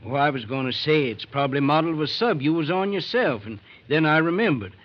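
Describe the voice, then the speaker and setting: Folksy farmer dad, acted by a man, animated higher voice. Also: fairly quick, natural voice. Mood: folksy, teasing. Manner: folksy, hesitant, deferential. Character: plainspoken rural farmer father, folksy and earnest. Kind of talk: 1940s radio drama acting. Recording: muffled vintage radio recording.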